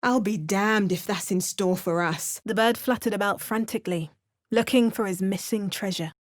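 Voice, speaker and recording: A woman narrating a fantasy video game in measured, scripted storytelling. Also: mid-range voice, no echo, studio quality, natural voice.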